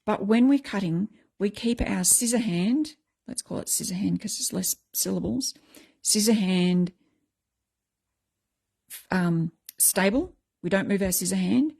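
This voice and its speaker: A middle-aged woman with a croaky voice, chatting casually as she explains a craft technique step by step. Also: mid-range voice, measured pace, a little mellow. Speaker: craft tutorial host.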